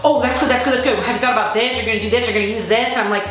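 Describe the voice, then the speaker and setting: A woman's voice: a little high, fairly even intonation, very fast. A young woman in her late twenties chatting casually and emphatically as a vlogger, peppering her talk with "like". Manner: chatty, emphatic, heavy use of "like". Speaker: vlogger, content creator. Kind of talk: casual chat Q&A to camera. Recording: very echoey room, music underneath.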